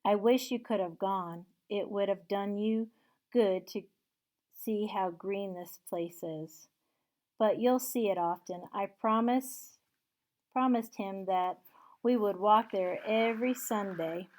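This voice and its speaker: A woman reading aloud and voicing a gentle, grieving poor father who speaks softly and tenderly. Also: mid-range voice, slow, close to an everyday voice.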